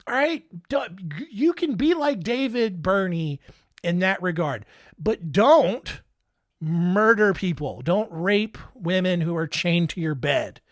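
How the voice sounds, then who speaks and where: A man's voice: high-pitched, lively intonation, slow, no echo, clean studio sound. A young man hosting a casual solo podcast, making joking, playful asides. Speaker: podcast host.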